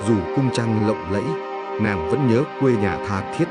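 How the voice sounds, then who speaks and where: A man's voice: lowish voice, expressive, unhurried. A man reading a scripted fairy tale as a storyteller. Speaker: storyteller narrator. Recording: music playing behind the voice. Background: prominent background music.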